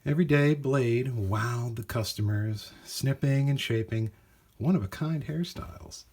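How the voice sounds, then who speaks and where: A man reading a children's storybook aloud in an animated, expressive way with dramatic emphasis. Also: mid-range voice, unhurried. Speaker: storybook reader.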